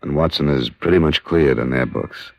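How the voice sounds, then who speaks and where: A hard-boiled American investigator from vintage radio drama. His voice sits very deep, and he talks in measured, probing lines. The recording is dull and close, with little room around it. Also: creaky, no echo, full character voice. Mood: probing, firm. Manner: terse, measured questioning and dry narration. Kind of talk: 1950s radio detective drama acting.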